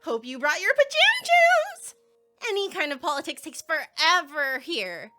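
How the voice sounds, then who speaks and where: A woman voicing an eager, feisty young female villager in an animated fan dub, bubbly, slangy and playful. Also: very high voice, unhurried, loud music behind the voice, full character voice. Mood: playful teasing.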